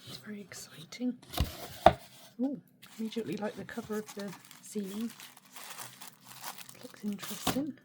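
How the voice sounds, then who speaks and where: A woman with a hoarse, snuffly cold, chatting casually and quietly as she unboxes art supplies, with many hesitations. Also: medium pitch, normal speed, long pauses. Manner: soft-spoken, often drops to a near whisper; mildly critical, hesitant with many ums. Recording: steady background noise.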